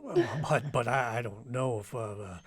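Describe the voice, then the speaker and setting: A man's voice: mid-range voice, moderate pace, crowd noise, natural voice. An actor playing a nervous, hesitant man who stammers, in an old-time radio drama.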